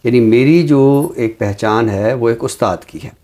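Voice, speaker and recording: A Pakistani man speaks at a very slow pace in a medium-pitched voice. He is measured and didactic, pausing deliberately as he explains his social commentary through examples. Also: mellow. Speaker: teacher and programme guest. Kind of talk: reflective social commentary in an interview.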